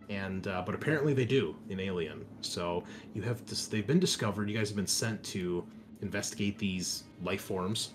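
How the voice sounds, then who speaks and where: A man with a lowish voice narrates a tabletop game recap as game master. He is informal and sweary, pausing mid-phrase and stretching descriptive words. Light noise sits behind his voice. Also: a bit bright. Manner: casual, sweary, conversational asides. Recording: clear background noise, room ambience.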